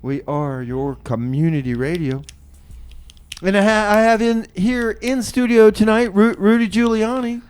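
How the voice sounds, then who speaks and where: A man hosting a community radio show in a casual, improvised style, often halting as he talks. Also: high voice, darkish tone, clean tone.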